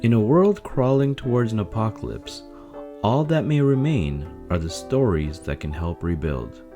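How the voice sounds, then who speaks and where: A man's voice: lowish voice, expressive, moderate pace, over music. A man presenting a story series in scripted, dramatic podcast intro narration.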